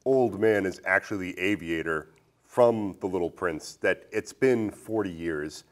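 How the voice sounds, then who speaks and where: A man's voice: sits low, a little slow. A man hosting a casual movie discussion in a playful, bantering manner. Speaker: podcast host.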